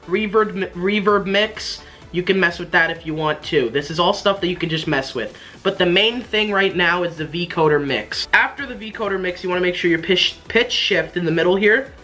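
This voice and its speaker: Young dude doing a casual app walkthrough, bright voice, repeats himself a bit. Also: male voice, high-pitched. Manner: informal, repetitive explaining. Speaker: tutorial host.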